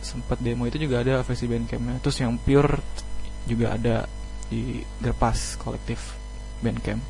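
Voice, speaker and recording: A man talks casually as a guest band member, in a humble, colloquial way. His medium-pitched voice stays fairly level, over faint music and a low electrical hum. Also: little pitch movement, moderate pace, vocal fry, a little mellow, rough recording. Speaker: band member being interviewed. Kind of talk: casual radio interview chat.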